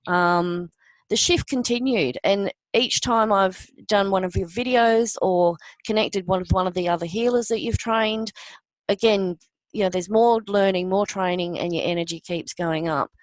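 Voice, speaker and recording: A woman's voice: mid-range voice, no room sound, poor audio. Earnest woman, dry close sound. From Australia. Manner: earnest, halting with many ums. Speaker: interview guest. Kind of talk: personal testimony.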